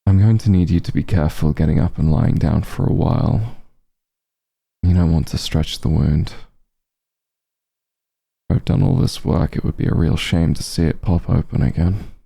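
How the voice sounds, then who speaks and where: A man playing a gentle, concerned doctor who is an old friend, speaking slowly and soothingly in a soft ASMR roleplay, with a low, husky voice. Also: steady tone, exaggerated voice. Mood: gentle, careful. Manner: slow, soothing, reassuring.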